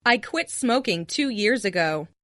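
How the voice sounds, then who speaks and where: A woman reading short phrases for language learners, repeating each one as a clear model. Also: medium pitch, expressive, unhurried, fairly bright tone, no room sound, studio quality. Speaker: language lesson speaker.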